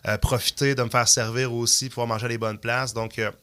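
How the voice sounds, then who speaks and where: A man's voice: mid-range voice, level tone, brisk, a bit bright, clear, resonant tone. A man in his thirties with a Québécois French accent, a guest chatting casually in a podcast studio, rambling with many fillers. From Canada. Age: 30s. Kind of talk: casual interview talk.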